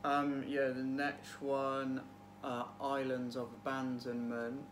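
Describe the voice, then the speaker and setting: A man's voice: mid-range voice, completely flat delivery, slow. A man in his twenties chatting casually about books in a hesitant, thoughtful way, with trailing phrases. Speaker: book vlog guest, PhD student. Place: small room at home, indoors. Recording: clearly noisy home room recording.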